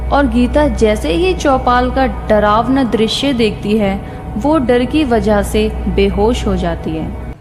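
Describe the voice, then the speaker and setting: Slow, reverberant woman narrator. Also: fairly high pitch. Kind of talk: scripted horror story narration. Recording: long reverb with faint music. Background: faint background music.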